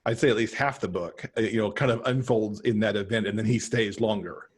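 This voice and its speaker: A middle-aged man speaks in a fairly low register with a rough, husky edge. He talks casually and conversationally, as an author being interviewed over a video call. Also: steady tone, a little fast. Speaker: guest author. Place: indoor room, on a video call.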